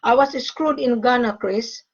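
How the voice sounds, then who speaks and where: A woman's voice: fairly high pitch, moderate pace, muffled recording. Older woman from the Philippines on a call. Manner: emphatic, repeats key phrases. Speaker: gold sales facilitator. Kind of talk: casual call conversation.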